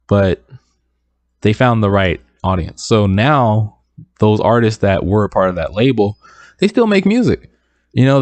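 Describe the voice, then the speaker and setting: A young man with a soft, deep voice, casually giving music career advice to his viewers and often checking in with "right?". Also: no echo. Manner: relaxed, conversational, repeats points with "right?".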